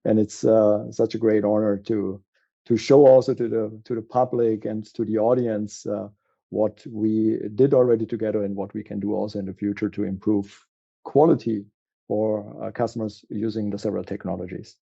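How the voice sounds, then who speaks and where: A guest on a podcast, a man with a German accent, speaks with a notably dark, mellow timbre. He pauses often and restarts his sentences as he searches for words. The recording sounds slightly dull and muffled. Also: fairly low pitch, steady tone, vocal fry. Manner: halting, with frequent fillers and restarts. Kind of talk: conversational interview.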